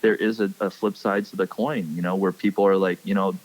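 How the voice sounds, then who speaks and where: Young guy from hawaii on a fishing podcast, lowish voice, lots of um and you know. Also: flat delivery, fairly quick, a little mellow, poor audio. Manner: hesitant, many fillers and restarts. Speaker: podcast guest, pro bass angler. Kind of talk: casual podcast chat.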